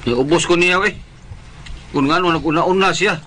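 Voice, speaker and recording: A man's voice: on the high side, moderate pace, sharp tone, a little hiss or hum. A man playing an older, melodramatic and stubborn widowed father in a radio drama, complaining sulkily with a Visayan Filipino accent. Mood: sulky, hurt. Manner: sulky, petulant complaining.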